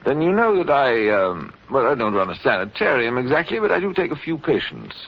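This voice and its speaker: An actor playing a suave, coolly menacing doctor with measured, polite speech in 1940s radio style. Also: male voice, mid-range voice, very animated, creaky. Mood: smooth, apologetic. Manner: measured, polite, sinister, mock-hesitant. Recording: dull, lo-fi 1940s radio recording.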